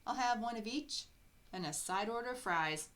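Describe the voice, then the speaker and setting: A woman's voice: fairly high pitch, lively intonation, moderate pace, fairly bright tone, pitched above the performer's own voice. Woman voicing an easygoing folksy cowboy rancher. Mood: cheerful, curious.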